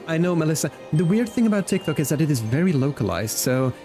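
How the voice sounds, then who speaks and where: A young Flemish man, a native Dutch speaker, streaming a game and chatting with viewers, animated and laughing often. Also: fast, music in the background. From Belgium. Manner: animated, laughs often, excitable pitch jumps. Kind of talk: casual live-stream chat reading comments.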